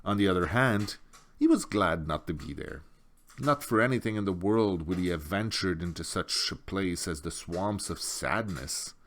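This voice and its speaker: A man's voice: sits low, expressive, normal speed, a bit bright. A man narrating a storybook as an audiobook reader.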